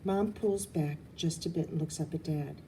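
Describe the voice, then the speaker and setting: A woman reading a children's novel aloud, an expressive narrator with dramatic emphasis. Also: sits low, moderate pace, slight background noise. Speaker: audiobook reader. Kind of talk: expressive storybook narration, first person as a girl.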